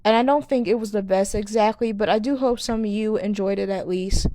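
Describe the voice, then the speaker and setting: A woman's voice: mid-range voice, fairly quick, mellow, no room sound, low-quality recording. A woman giving video essay commentary to viewers, speaking earnestly and now and then stumbling over words. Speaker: video creator and host.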